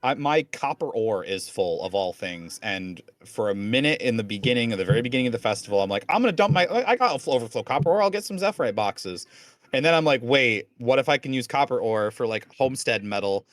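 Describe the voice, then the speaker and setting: An American man, a guest in a casual chat, telling quick enthusiastic stories. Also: medium pitch, animated, no echo. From United States.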